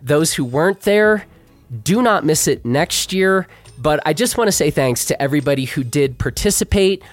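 A middle-aged man hosting a podcast with a hoarse, worn voice, talking in a chatty, self-deprecating way with fillers. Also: on the high side, no room sound. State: hoarse, losing his voice. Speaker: podcast host. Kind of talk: casual podcast intro and live chat.

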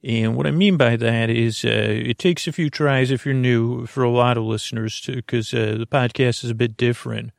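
Creaky, meandering man, bedtime podcast host. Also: medium pitch, steady tone, no room sound, studio quality. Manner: meandering, halting, soothing, full of tangents. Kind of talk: slow rambling bedtime monologue.

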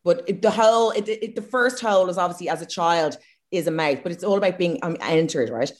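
A woman's voice: fairly low pitch, brisk. A woman with an Irish accent, co-hosting a casual comedic chat, frank and self-mocking. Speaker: podcast co-host.

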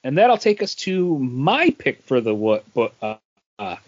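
A man's mid-pitched voice in a dry, slightly dull recording. He chats casually as a podcast co-host, halting and rephrasing as he works through his thoughts. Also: big pitch swings, no echo. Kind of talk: casual comic book discussion.

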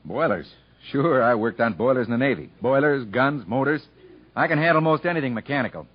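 A man's voice: mid-range voice, lively intonation, brisk, husky, dry recording. An actor playing a stubborn, folksy young country man in a 1950s radio drama. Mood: confident, curious. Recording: dull 1950s radio broadcast recording.

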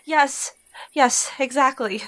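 A woman playing a caring young witch in an audio drama, earnest and anxious, with some creak in her voice. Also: high voice, slow pace, bright, forceful tone, exaggerated voice. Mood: flustered.

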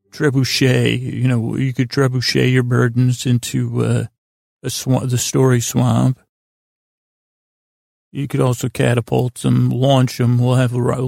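A man with a creaky, gravelly voice hosting a sleep podcast, meandering and halting through a self-deprecating, soothing bedtime monologue to the listener. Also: medium pitch, monotone, darkish tone, no echo, clean studio sound.

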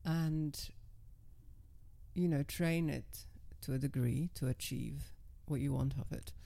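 A middle-aged woman guest with a naturally low, calm and level voice, speaking in a measured, self-assured way. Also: creaky. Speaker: guest, professional dominatrix. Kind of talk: casual interview talk.

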